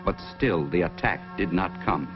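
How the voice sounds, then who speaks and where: A man with a fairly low voice narrates a radio adventure with measured drama. Music plays clearly behind him on a narrow, vintage recording. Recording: old band-limited radio recording, music.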